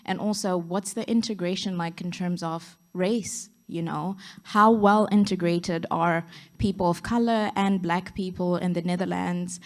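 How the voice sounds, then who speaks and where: A woman's voice: lowish voice, fairly even intonation, unhurried. A young woman in the audience asking the panel an earnest, direct question. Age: young adult.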